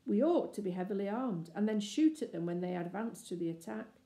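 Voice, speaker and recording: A woman's voice: mid-range voice, expressive, a little fast, dark tone, close to an everyday voice. Woman as an earnest schoolgirl, echoey room. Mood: earnest, explaining. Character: practical, earnest schoolgirl in a children's storybook. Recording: roomy, echoey indoor sound.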